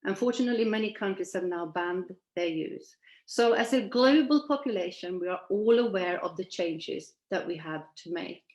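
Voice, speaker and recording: A woman's voice: lowish voice, expressive, moderate pace, darkish tone, roomy sound. Swedish-born woman in her sixties presenting. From Sweden. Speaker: presenter at an online event. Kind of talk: presentation from shared slides. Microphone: video call.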